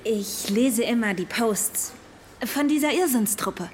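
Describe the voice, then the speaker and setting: A woman's voice: a little high, expressive, slow, slight background noise. An actress playing a forward, enigmatic young woman in a radio drama. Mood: earnest.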